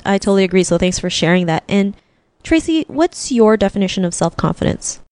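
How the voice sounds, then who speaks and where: A woman's voice: mid-range voice, sing-song, brisk, a little mellow, no echo. A woman hosting an interview podcast, chatting in an upbeat way and often saying "like" and "right?". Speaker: podcast host. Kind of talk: casual interview chat.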